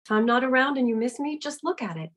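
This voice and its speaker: A woman reading a cheerful, loving young mother at a table read, bright and affectionate. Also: high voice, expressive, fast talker.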